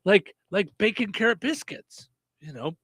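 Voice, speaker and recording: Man, radio host, high voice, very lively pitch, playful, reads listener comments. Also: a little slow, breathy edge, no echo, clean studio sound. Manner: lively, playful, lots of affirming murmurs. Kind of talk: casual chat.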